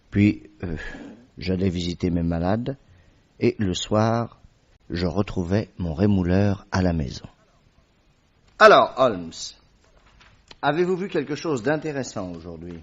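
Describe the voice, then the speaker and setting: An actor playing a genial, admiring gentleman friend and narrator, telling the story in a French radio drama. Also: on the low side, dull audio. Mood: curious.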